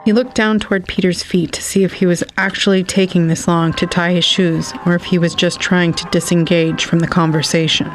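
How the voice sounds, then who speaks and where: A man's voice: high-pitched, level tone, a little fast, few pauses. A man narrating a scripted story in the third person as the narrator of an audio drama. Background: faint music.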